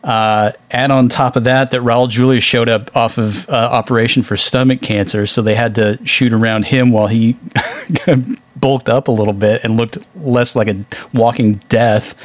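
A man's voice: mid-range voice, steady tone, normal speed, dry recording. Male podcast co-host, mid-range voice, dry close sound, informal, wry, conversational. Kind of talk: casual chat about movies.

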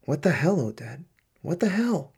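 Male official, radio drama, raspy, dark timbre, stern, demanding, blunt. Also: on the high side, very animated, moderate pace, exaggerated voice. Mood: angry, demanding. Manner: harsh, demanding, interrogating. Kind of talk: radio play acting.

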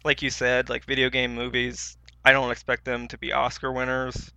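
A man's voice: mid-range voice, monotone, moderate pace, vocal fry, bright, forceful tone, clear, resonant tone, no echo. A man co-hosting a podcast, chatting casually in a hesitant, rambling way. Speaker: podcast co-host. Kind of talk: casual chat about films.

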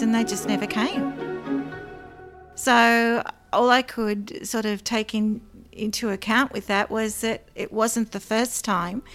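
A woman, a guest in an interview, telling of her past work in an earnest, measured way with frequent ums. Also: on the high side. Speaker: former psychic line worker, guest. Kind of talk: interview, personal recollection.

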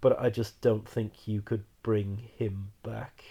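A man hosting a casual sports podcast, hoarse from a cold and stammering a little as he restarts sentences. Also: fairly low pitch, level tone, slow pace, dark tone, muffled recording. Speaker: podcast host.

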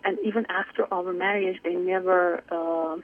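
A woman's voice: lowish voice, fairly even intonation, normal speed. A young woman from Iran calling in to a radio show, talking hesitantly with many fillers as she searches for words. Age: young adult. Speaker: caller. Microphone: telephone. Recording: tinny phone line.

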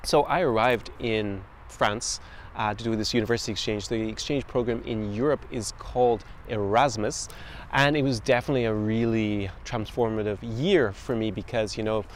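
Low-voiced man with a faded Irish accent. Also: slight background noise. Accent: mixed, faded Irish with international influences. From Ireland. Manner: conversational, with frequent fillers and self-corrections. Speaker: podcast host. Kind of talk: casual talk to camera. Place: outdoors in a city street.

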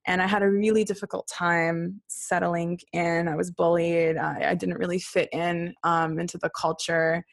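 A woman's voice: on the low side, flat delivery, normal speed, gravelly, hardly any pauses, dry recording, clean studio sound. A young woman from Australia, telling her family story as an interview guest in a chatty, rambling way with frequent laughs.

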